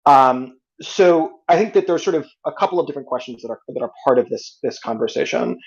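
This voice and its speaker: A man's voice: medium pitch, lively intonation, a little fast, vocal fry. Dull-sounding recording, methodical male debater. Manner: articulate, methodical, frames points as questions. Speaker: debate guest. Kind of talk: structured debate rebuttal.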